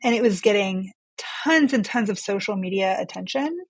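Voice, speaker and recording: Female physician as interview guest, medium pitch, conversational explainer, very dry recording. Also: normal speed, husky, dry recording, poor audio.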